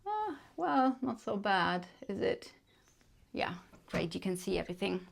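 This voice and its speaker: A woman's voice: medium pitch, sing-song, measured pace, creaky, breathy edge. A woman with a German accent, reading tarot cards to camera in a chatty way, thinking aloud with many fillers. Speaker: tarot reader. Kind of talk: casual card reading to camera.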